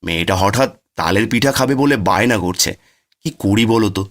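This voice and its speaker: A man's voice: lowish voice, expressive, brisk, a bit bright. A man voicing a poor, doting village father in a cartoon, humble and coaxing. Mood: puzzled, indulgent.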